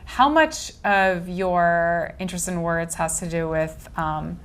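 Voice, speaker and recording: A woman interviewing an author at a bookstore event, asking long, animated questions with many fillers. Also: on the low side, takes it slowly, clear, resonant tone. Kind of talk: casual conversation at a book event.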